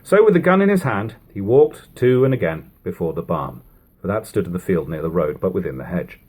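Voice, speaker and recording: Husky low-voiced man reading with lively intonation. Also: a little fast. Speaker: audiobook reader. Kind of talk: expressive reading of an old literary narrative. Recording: homemade lo-fi reading.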